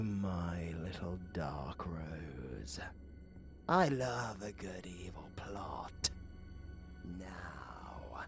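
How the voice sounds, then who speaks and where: A man with a British accent playing a flirtatious, gleefully wicked undead necromancer in a theatrical, taunting audio roleplay, slipping between breathy whispers and shouts. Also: deep voice, heavily acted. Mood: playful menace. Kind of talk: ASMR audio roleplay acting.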